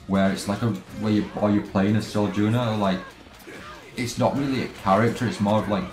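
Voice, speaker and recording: A man streaming a video game, chatting casually and haltingly with viewers as he plays, often trailing off and restarting. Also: fairly low pitch. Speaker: let's play streamer. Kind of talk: casual live game commentary and chat with viewers. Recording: roomy echo, game music behind. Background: video game music.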